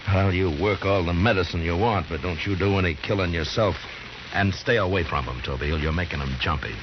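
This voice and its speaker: An actor playing a calm, stern frontier marshal in a radio western, measured and firm. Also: male voice, sits low, natural voice. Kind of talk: 1950s radio western acting. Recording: hissy, muffled old radio recording.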